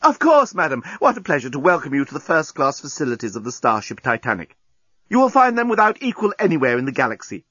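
Man voicing a high, strangled, snooty robot desk clerk. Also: huge pitch swings, quick pace, sharp tone, no room sound, lo-fi sound, full character voice. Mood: gushing welcome. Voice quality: strangled, croaking.

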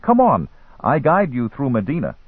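A man's voice: mid-range voice, wildly swooping pitch, normal speed, husky, dry recording, phone-quality sound, voice pushed up. A man voicing a persistent teenage boy hustler in a story, eager and wheedling in broken English. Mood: wheedling, insistent.

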